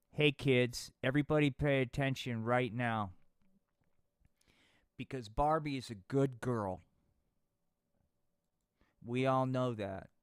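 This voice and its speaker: A man's voice: medium pitch, clear, resonant tone, dry recording, clean studio sound. A man narrating a crude improvised puppet show in a slangy stoner drawl.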